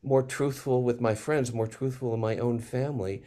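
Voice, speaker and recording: A man in a reflective panel conversation, speaking as a theater maker in a halting, searching, earnest way with pauses. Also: mid-range voice, steady tone, mellow.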